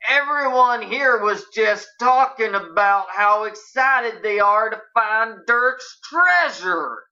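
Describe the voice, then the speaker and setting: A woman's voice: sits low, lively intonation, unhurried, pushed and bright, muffled recording, full character voice. A woman voicing a reserved, irritable young cowboy with laconic rustic speech in a story. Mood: irritated.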